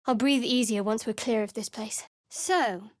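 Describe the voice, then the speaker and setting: An actress playing a guarded, sardonic young woman adventurer in a fantasy video game, speaking in a measured, dry, clipped way. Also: on the high side, dry recording, natural voice. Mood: cool, sardonic.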